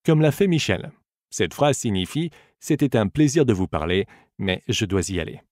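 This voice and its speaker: A man's voice: fairly low pitch, sing-song, brisk, darkish tone, no echo, clean studio sound. A man narrating a scripted bilingual audiobook lesson as a teacher, addressing the listener in an encouraging way.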